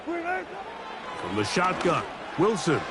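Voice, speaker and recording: A man's voice: high voice, wildly swooping pitch, very slow, full character voice. A man with an American accent voicing a football play-by-play announcer, calling the action over the game's noise. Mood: measured, brisk. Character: male TV football play-by-play announcer, brisk and excitable. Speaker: play-by-play commentator. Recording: loud crowd and game noise behind.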